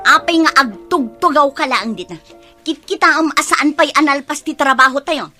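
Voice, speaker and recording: A woman playing a harsh, bad-tempered aunt in a radio drama, with an Ilocano accent and a sharp, commanding manner. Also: high voice, moderate pace, faint music behind. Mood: scolding, impatient. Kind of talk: Filipino radio drama acting.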